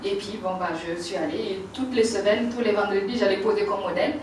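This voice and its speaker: A woman's voice: mid-range voice, fairly quick, a little hiss or hum. A woman in her forties with a Burkinabe French accent, telling her life story with laughter and frequent hesitations. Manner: animated, laughing, many hesitations. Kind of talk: personal life story talk. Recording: roomy, distant mic, faint ambience.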